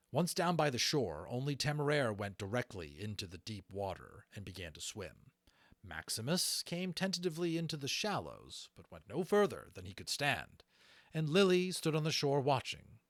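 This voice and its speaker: A man narrating a fantasy audiobook in an animated storytelling style, with informal asides. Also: medium pitch, no room sound, clean studio sound.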